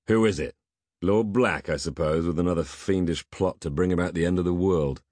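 A man's voice: deep voice, a little fast, darkish tone, no room sound, lightly acted. A man playing a self-important, jumpy comic hero in an audiobook, whining, huffing and grumbling like a sulky teenager. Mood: huffy, whining. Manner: whiny, huffy, grumbling.

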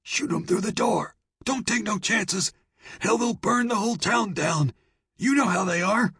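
A man voicing a cranky, frightened older saloon owner in a western audiobook, with a raspy, strained, folksy drawl. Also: unhurried, a bit bright, dry recording, studio quality, heavily acted. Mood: panicked. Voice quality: raspy, high-pitched strained voice. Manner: folksy Old West drawl, pleading. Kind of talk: western audiobook character dialogue.

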